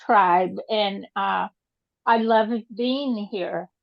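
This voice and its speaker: Brazilian-born older woman on a video call, slow and halting, gravelly, dull lo-fi sound. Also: medium pitch, no echo. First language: Portuguese. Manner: halting, hesitant, many fillers. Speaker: group participant. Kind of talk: casual sharing in an online group.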